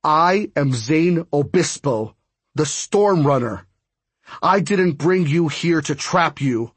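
A man's voice: fairly high pitch, animated, a little fast, breathy edge, no room sound. A man voicing a defiant, hot-headed young male hero with a growling, declaiming manner. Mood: thundering defiance.

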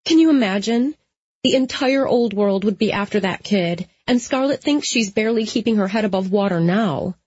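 A woman's voice: medium pitch, animated, moderate pace, a little mellow, slightly rough, no room sound, dull audio, pitched above the performer's own voice. A woman voicing a sassy, blunt young female vampire in an audiobook, with an emphatic, informal manner. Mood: confiding.

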